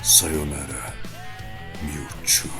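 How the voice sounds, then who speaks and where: Casting: male actor as grim hedgehog antihero, low slow voice, dramatic cartoon menace, loud music underneath. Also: level tone, full character voice. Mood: cold farewell.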